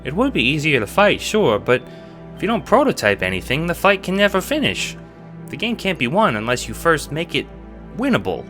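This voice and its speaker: A man voicing an eager, nervous, childlike cat sprite in an audio drama, hesitant and excitable. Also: a little high, fairly quick, faint music behind, voice pushed up. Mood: earnest.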